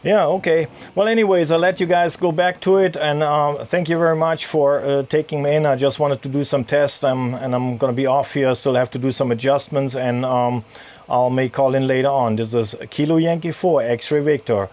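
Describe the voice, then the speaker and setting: Man, German accent, ham radio operator, halting, lots of uhs, speaking from a sailboat. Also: medium pitch, fairly even intonation, darkish tone. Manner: halting, with many fillers. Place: sailboat cabin in a marina.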